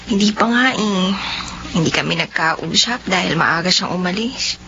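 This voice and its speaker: Woman doing a teary young niece, bright high voice, muffled lo-fi sound. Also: expressive, normal speed. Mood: hurt, quiet. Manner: pleading, tearful. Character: young woman Nanette, gentle wronged niece. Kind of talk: radio-drama acting. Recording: muffled lo-fi recording, faint hum.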